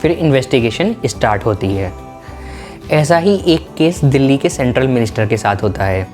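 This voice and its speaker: A male narrator speaks at an average pitch in an explanatory documentary style. He lays things out as a storyteller of factual events would. Music plays behind his voice. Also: lively intonation, normal speed, darkish tone.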